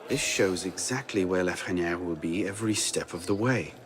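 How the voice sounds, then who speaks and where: An actor playing a stealthy, self-assured young assassin in a video game, muttering dry, understated asides. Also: male voice, low-pitched, normal speed, slight background noise, natural voice. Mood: cool, confident. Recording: music over the voice. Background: music.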